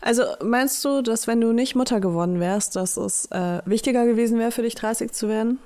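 A woman's voice: a little high, a little fast, warm tone. A woman in her late twenties, co-hosting a podcast, talking in a reflective, colloquial, hedging way. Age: 20s. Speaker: podcast co-host. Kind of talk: casual podcast chat.

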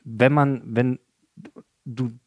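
A man of average pitch speaks as a guest on a casual podcast. He gropes for words, pausing on fillers and ending thoughts with small tag questions. His voice sits close and dry on the mic. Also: steady tone, dry recording. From Berlin.

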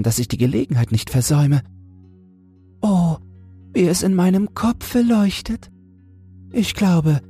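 A man plays a tiny female toad in a storybook tale, pitched very high above a natural male voice. The toad is naive and earnest, full of wishful wonder, with lilting exclamations and a husky edge, over faint music. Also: a little mellow, heavily acted. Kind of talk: fairy tale audiobook character voice.

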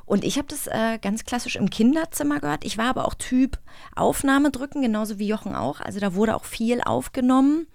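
A woman's voice: on the high side, a little fast, vocal fry, a little mellow, no echo. A woman speaking as an interview host, in casual, informal studio conversation. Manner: informal, chatty. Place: recording studio.

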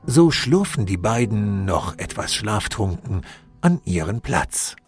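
A man's voice: fairly low pitch, very animated, slow pace, breathy edge. A man narrating a scripted story with wry, literary phrasing. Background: music.

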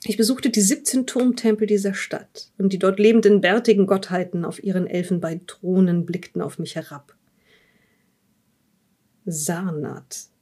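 A woman acting as a curious female investigator in an audio drama, with a soft, mellow voice. She speaks in a measured, thoughtful way, whether reading or questioning. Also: mid-range voice, steady tone, close to an everyday voice. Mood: intrigued. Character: curious, probing female interviewer examining a dead man's journal. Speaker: interviewer in an audio-drama role-play. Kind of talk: improvised audio drama dialogue with journal reading.

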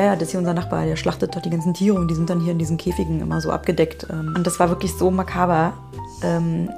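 Low, creaky-voiced woman in casual conversation. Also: a little mellow. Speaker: interviewer and conversation partner.

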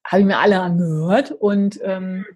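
A woman hosts a relaxed conversation and gushes with enthusiasm. Her husky voice rambles through plenty of fillers and restarts. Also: mid-range voice, normal speed. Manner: effusive, rambling, many fillers. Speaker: podcast host. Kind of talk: casual interview chat.

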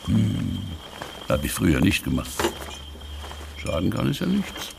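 A man playing a very old, eccentric, secretive inventor who mutters, stammers and bursts out excitedly in a children's audio play. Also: low-pitched, unhurried, vocal fry, background noise, heavily acted. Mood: conspiratorial. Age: older. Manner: muttering, stammering, erratic outbursts.

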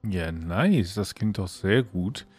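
A man's voice: deep voice, expressive, takes it slowly, darkish tone, slightly rough, no echo, faint music behind. A man co-hosting a podcast in casual conversation. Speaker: podcast co-host.

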